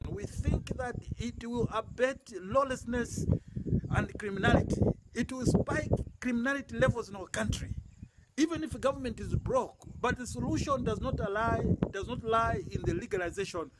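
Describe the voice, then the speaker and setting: A man's voice: very high-pitched, animated, normal speed, heavy background noise. A man with a Zambian accent making an emphatic statement to the news, repeating phrases for weight. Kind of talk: spoken statement to news camera.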